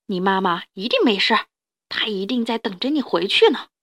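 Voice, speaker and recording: Woman voicing a gruff but kindly big Tyrannosaurus. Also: a little high, animated, a little slow. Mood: gentle. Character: big gruff male Tyrannosaurus, hungry loner softening into kindness.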